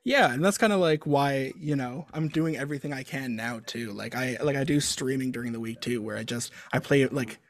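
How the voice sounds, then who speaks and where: A young adult man talking casually as a guest author, halting and full of fillers and restarts. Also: medium pitch. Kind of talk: casual interview chat.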